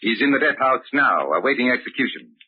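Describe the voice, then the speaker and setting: A cruel, controlling older father in a vintage radio play. His formal, silky speech swoops with condescension and menace. The voice is a little husky, on a very muffled old recording. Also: medium pitch, sing-song, brisk. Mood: stern, superior. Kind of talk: old-time radio drama acting.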